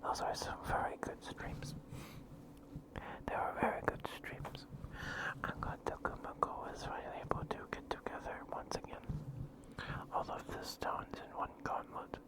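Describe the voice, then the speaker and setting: A man streamer whispering soft, doting, repetitive praise in an ASMR style. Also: normal speed, poor audio.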